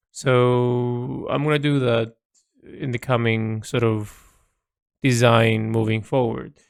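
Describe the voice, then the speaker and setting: A man's voice: medium pitch, vocal fry, no room sound, clean studio sound. A man speaking hesitantly and reflectively, thinking aloud in an unscripted audio diary as an illustrator. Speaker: illustrator keeping an audio log.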